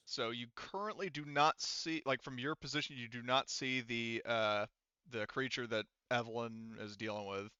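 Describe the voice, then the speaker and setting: A man's voice: medium pitch, steady tone, moderate pace, sharp tone, smooth, no room sound, dull audio. A man running a tabletop roleplaying game as game master, talking through rules and action in casual, sweary language. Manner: informal, swears freely.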